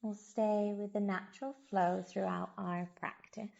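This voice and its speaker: A woman leads a guided meditation very slowly, leaving space between short, gentle phrases. Her voice is soft-edged and mellow, with a noticeable creak, and she soothes as she instructs. The recording sounds a little dull. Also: mid-range voice, level tone. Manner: soothing, unhurried, gentle instruction with long pauses. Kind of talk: guided body scan meditation.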